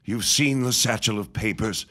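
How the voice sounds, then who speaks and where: An actor playing a grave, secretive mentor in a period audio drama, with a husky voice and solemn, portentous delivery. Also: male voice, on the low side, moderate pace, pushed and bright, a little hiss or hum. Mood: solemn, urgent. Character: grave, secretive mentor summoning a young friend.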